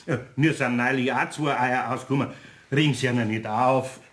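A man's voice: mid-range voice, steady tone, moderate pace, fairly bright tone, close to an everyday voice. An actor playing a good-natured older Bavarian master craftsman in a radio play, speaking homely dialect, firm but kindly. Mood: reassuring. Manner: folksy, homely dialect talk. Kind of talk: German radio play acting.

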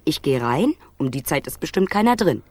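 Bossy schemer, low voice, lively intonation, confident, teasing, condescending, radio drama. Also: female voice, no echo. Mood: patronising, patient. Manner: brisk, confident, teasingly patronising. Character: cool, scheming woman plotter, bossy and condescending. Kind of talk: German radio crime drama acting.

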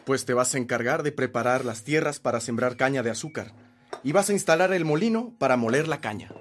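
An actor playing a welcoming but businesslike older brother and landowner with a Mexican accent, speaking directly in a radio drama. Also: male voice, medium pitch, lively intonation, a little fast, soft background music, natural voice. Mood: matter-of-fact.

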